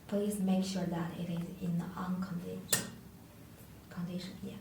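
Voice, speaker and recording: Female product demonstrator, non-native English, lowish voice, halting tutorial delivery, heavily reverberant room recording. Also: completely flat delivery, vocal fry. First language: not English. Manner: halting, hesitant delivery with searching pauses. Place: echoey indoor room, tabletop demonstration. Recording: echoey room, distant mic, faint noise.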